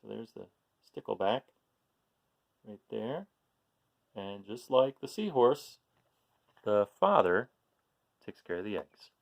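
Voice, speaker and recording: Gravelly, animated man reading a picture book. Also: lowish voice, takes it slowly, pauses often, dull audio. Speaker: children's book read-aloud host. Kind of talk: expressive storybook reading with casual asides.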